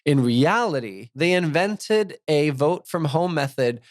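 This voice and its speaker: A man's voice: fairly high pitch, a little slow, no echo, studio quality. A man co-hosting a podcast, reading out listener comments in casual chat and laughing often at his own mistakes. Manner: laughs often, animated and self-mocking. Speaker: podcast co-host.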